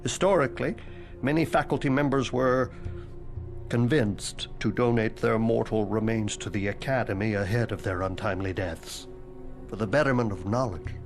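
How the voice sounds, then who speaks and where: A man voicing the ghost of a dead male school headmaster, formal and morbidly deadpan, now and then dropping into a whisper, in a video game cutscene with music behind. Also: lowish voice, moderate pace, natural voice. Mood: wry.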